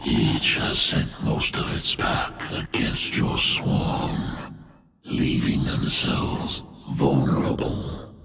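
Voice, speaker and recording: An actor playing an ancient primal alien beast elder who speaks in solemn, commanding proclamations. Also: male voice, extremely slow, noisy background.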